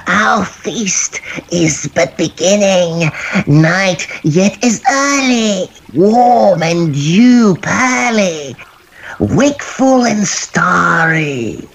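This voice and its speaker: A sly goblin merchant, acted out by a man, speaks at an extremely slow, drawn-out pace. He wheedles and coaxes like a storybook tempter, pitched a little above the narrator's usual voice. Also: expressive, creaky, fairly bright tone, exaggerated voice. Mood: sly, coaxing. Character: sly goblin merchants coaxing a maiden to feast.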